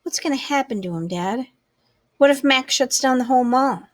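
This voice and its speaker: A woman voicing an earnest, tender-hearted young girl in a read-aloud storybook, with a pleading, animated manner. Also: high-pitched, normal speed, no echo, studio quality, pitched above the performer's own voice. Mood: worried.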